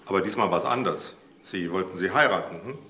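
A man's voice: sits low, brisk, bright, forceful tone, slight background noise. An actor playing a blunt, sceptical male police detective in a crime drama. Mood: probing.

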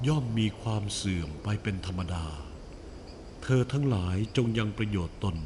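An actor voicing the Buddha as a calm male teacher, giving a solemn and measured admonition to his monks. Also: lowish voice, a little hiss or hum, lightly acted. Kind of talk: animated film dialogue.

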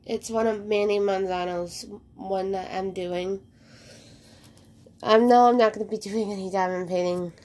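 A woman's voice: mid-range voice, a little slow. A woman chatting casually as she unboxes craft kits at home, a little congested from a cold, rambling with plenty of ums. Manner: relaxed, rambling with many ums. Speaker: crafting vlogger. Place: indoor craft room at home.

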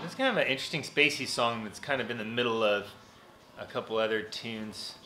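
A man's voice: mid-range voice, brisk, reverberant, over loud music. A man in his forties with a nasal voice, chatting casually and rambling through a live stream from his home recording studio. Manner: rambling, relaxed, many fillers and restarts. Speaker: vlogger and mixing engineer. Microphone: camera on desk.

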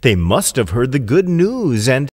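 A man's voice: mid-range voice, big pitch swings, a little slow, darkish tone, in a large hall. A man voicing a wise old rooster in a children's fable, clever and composed with feigned delight.

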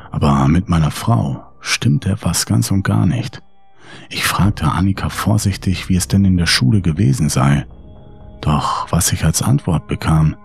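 A man's voice: low voice, creaky, darkish tone, slightly rough. A man reading a scripted first-person horror story in an audiobook style.

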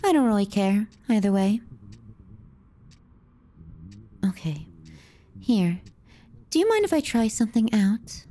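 A woman playing a teasing, callous, clingy younger sister in an intimate ASMR roleplay, sardonic and often hushed. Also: mid-range voice, very animated, moderate pace. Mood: reluctantly caring. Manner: sardonic, needling, dropping into hushed murmurs.

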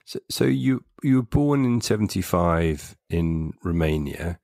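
A man interviewing a guest, asking calm, plain questions. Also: low-pitched, measured pace, creaky, no room sound, clean studio sound.

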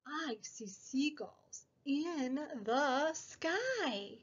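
Young female preschool read-aloud host: very lively sing-song, unhurried, slightly dull recording. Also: high-pitched. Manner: sing-song, exaggerated child-directed delivery, drawn-out words. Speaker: preschool story time host and teacher. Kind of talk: picture book read-aloud for small children.

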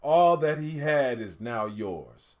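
A man voicing a wise village chief in a storybook, measured and solemn. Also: mid-range voice, lively intonation.

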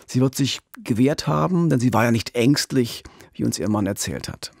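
A middle-aged man talks as a true-crime podcast host. His voice sits at an average pitch, recorded close and dry in a small radio studio. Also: animated, moderate pace, gravelly, no room sound. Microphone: close studio microphone with pop filter.

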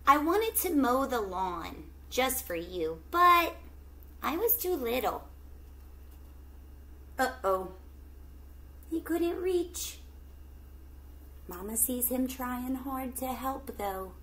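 A middle-aged woman teacher reading a picture book aloud to children, with expressive, drawn-out storytelling and questions to the young listeners. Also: sits high. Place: small indoor room at home with plants.

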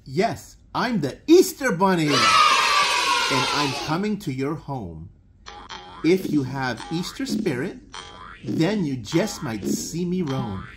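Very animated low-voiced woman reading a story. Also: takes it slowly. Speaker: storybook reader. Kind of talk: children's read-aloud narration. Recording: loud background noise with clicks.

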